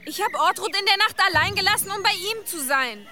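A woman's voice: very high voice, animated, a little fast, noisy background. A woman playing a young village daughter in an audio drama, urgent and defiant. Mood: distressed defiance.

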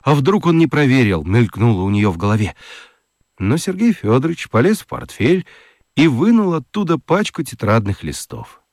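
A man giving an expressive, theatrical scripted narration of a children's story. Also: mid-range voice, normal speed, no room sound, clean studio sound.

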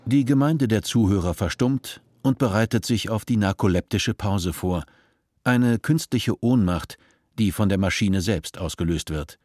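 Low-voiced dry narrator man. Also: no room sound. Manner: even, measured storytelling. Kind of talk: scripted narration.

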